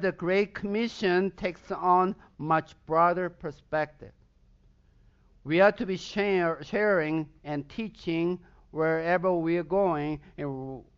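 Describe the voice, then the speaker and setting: A man's voice: a little high, takes it slowly, clear, resonant tone, dull audio. A pastor from Southern Sweden, a man whose first language is Swedish, preaching a sermon with a Swedish accent and emphatic bursts of intensity.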